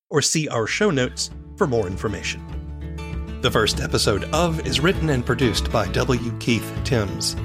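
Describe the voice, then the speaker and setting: A man hosting a podcast, reading scripted narration and putting questions to a guest. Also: mid-range voice. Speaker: podcast host.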